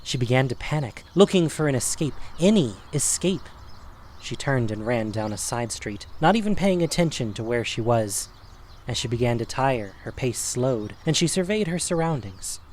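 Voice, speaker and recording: A man's voice: medium pitch, expressive, normal speed, a little mellow, a little hiss or hum. A man narrating a scripted audio drama as its storyteller.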